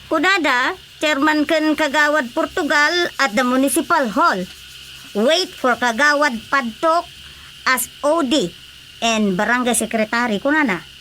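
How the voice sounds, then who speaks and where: A woman's voice: high-pitched, unhurried, sharp tone, a little hiss or hum, rough recording. An actress with a Filipino accent playing an insistent, nagging village woman in a radio comedy drama. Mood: fussing.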